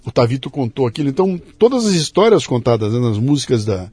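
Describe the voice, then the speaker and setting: A Brazilian man from interior São Paulo hosting a podcast interview, telling stories in an animated, emphatic way. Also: medium pitch, fast, breathy edge, dry recording. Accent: São Paulo interior Brazilian Portuguese. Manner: animated storyteller, emphatic asides. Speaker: podcast host. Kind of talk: conversational interview.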